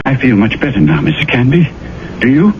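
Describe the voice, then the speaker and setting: A man's voice: medium pitch, very animated, brisk, lightly acted. An actor playing a sanctimonious man in an old radio drama, soothing and persuasive as he urges prayer. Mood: pious. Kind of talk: 1970s radio drama acting. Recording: dull old radio broadcast, faint hiss.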